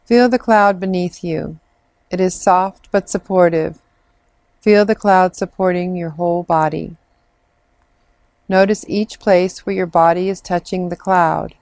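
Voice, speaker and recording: A woman leading a guided imagery meditation in a soothing, measured manner with long pauses. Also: lowish voice, fairly even intonation, darkish tone, dry recording. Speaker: meditation guide, clinical social worker.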